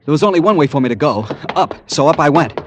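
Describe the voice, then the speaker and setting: A man's voice: on the high side, lively intonation, quick pace, background noise, close to an everyday voice. An actor playing a desperate, earnest young American man, narrating and pleading in 1940s radio suspense style. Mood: urgent. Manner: earnest, nervy, dramatic. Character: anxious, desperate young man hunting his missing fiancee. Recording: vintage radio drama, music behind.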